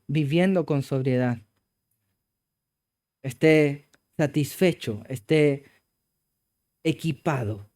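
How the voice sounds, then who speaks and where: A Chilean pastor, a man, preaching in a high-pitched voice with a smooth, resonant clarity. He presses his points with emphatic exclamations, then drops to low, hushed passages. It is a church sermon delivered with conviction.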